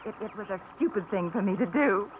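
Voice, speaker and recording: An actress playing a flustered, nervous middle-aged woman who fishes, with a breathy, giggly voice, in a 1950s radio drama. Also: mid-range voice, lively intonation, a little fast, slight background noise, natural voice. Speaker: lead actress. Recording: dull old radio broadcast.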